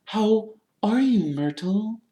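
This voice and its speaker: A man voicing an anxious young girl with a stammering, placating, falsely bright manner. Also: sits high, sing-song, slow pace, voice pushed up, full character voice. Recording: roomy, echoing narration recording.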